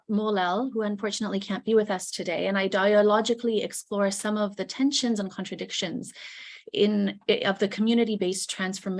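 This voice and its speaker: Formal woman presenting on a video call. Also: mid-range voice. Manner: formal, deliberate, carefully worded. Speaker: educator presenting a chapter. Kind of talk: prepared academic presentation.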